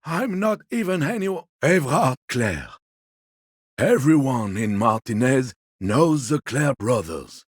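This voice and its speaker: A man voicing a genteel, fussy old man in a video game, a husky voice and a polite, wheedling, quietly petty manner. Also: medium pitch, very animated, takes it slowly, no echo, studio quality, heavily acted. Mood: apologetic, evasive. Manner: polite, wheedling, theatrically indignant. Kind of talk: video game character dialogue.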